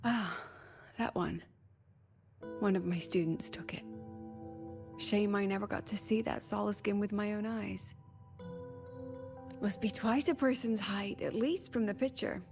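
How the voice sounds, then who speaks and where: A woman's voice: mid-range voice, creaky. Weary, wry woman academy president. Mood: relaxed, wistful. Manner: sighing, wry, conversational with coy pauses. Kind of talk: video game voice acting. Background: background music.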